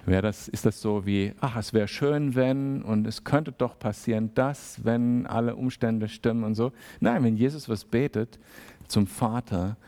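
A man's voice: medium pitch, unhurried, dark tone. Casual conversational male preacher. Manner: conversational, acts out small dialogues. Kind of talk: sermon.

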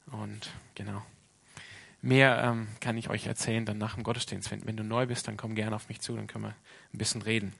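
A man's voice: on the low side, little pitch movement, fairly quick, gravelly, fairly bright tone. A man from Australia with an Australian English accent, a native English speaker, preaching an informal sermon in a plain-spoken, earnest way. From Sydney, Australia.

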